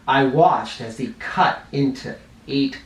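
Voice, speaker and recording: A man's voice: medium pitch, slow. A man reading a children's story aloud expressively, with dramatic emphasis, as if speaking straight to young listeners. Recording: roomy, echoing, somewhat distant microphone.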